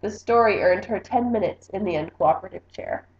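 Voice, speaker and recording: A woman reading a children's storybook aloud in an expressive narrating style. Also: mid-range voice, fairly quick, a little hiss or hum. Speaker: book reader. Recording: echoey room, slightly muffled sound.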